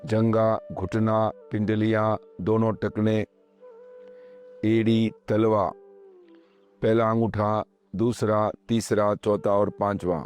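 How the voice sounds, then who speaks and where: A man leading a guided meditation, speaking calmly and measuredly in short phrases with pauses, as slow instructional narration. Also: lowish voice. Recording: voice over background music. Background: meditation music.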